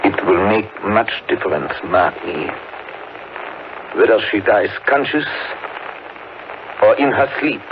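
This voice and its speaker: A grave, commanding older doctor, speaking slowly with wide, emphatic swings of pitch. It is vintage radio drama on a scratchy, thin broadcast. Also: male voice, animated, muffled recording. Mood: grave, resigned. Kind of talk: 1930s radio drama acting.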